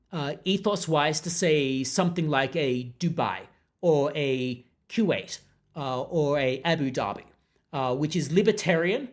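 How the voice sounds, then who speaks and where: Unruffled man with a mixed accent. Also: fairly high pitch. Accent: mixed international accent. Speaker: podcast host. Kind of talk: casual commentary reading out viewer comments.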